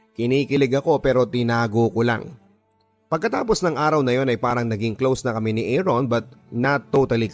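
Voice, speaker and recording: A Filipino man tells a scripted love story as a radio drama narrator. His voice sits in a middle register and his delivery is expressive. Music plays behind him throughout. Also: mid-range voice. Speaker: radio drama narrator reading a listener's letter. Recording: voice over background music.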